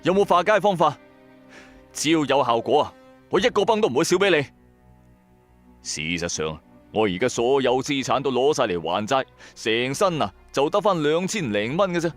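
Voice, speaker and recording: A man's voice: mid-range voice, expressive, moderate pace, close to an everyday voice. A man playing a bankrupt, hot-tempered trader who narrates his own story in colloquial, emphatic style for a radio drama. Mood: angry, impatient, then uneasy. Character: bankrupt male electronics trader, hard-pressed, hot-tempered, streetwise. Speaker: first-person narrator and lead. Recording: background music under voice. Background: music.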